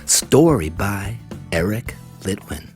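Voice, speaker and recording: A woman reads a children's storybook aloud in an expressive, deliberate way, putting questions to young listeners. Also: extremely low pitch, faint music behind. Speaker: storybook reader. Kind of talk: children's read-aloud narration.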